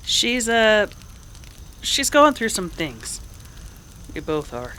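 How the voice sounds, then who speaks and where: A woman playing a calm, protective frontier woman with a hesitant, sympathetic manner in a Western radio drama. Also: medium pitch, slow, a little hiss or hum, heavily acted. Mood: guarded concern.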